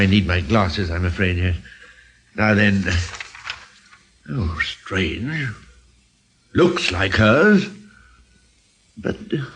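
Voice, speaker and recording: An actor playing a reserved, proper gentleman in a radio drama, hesitant and formal, husky. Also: on the low side, exaggerated voice. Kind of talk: old-time radio drama acting.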